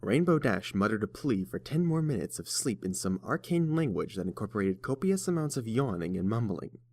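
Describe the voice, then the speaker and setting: A man's voice: lowish voice, sing-song, a little fast, a little mellow. A man narrating a story from a script as a storybook narrator.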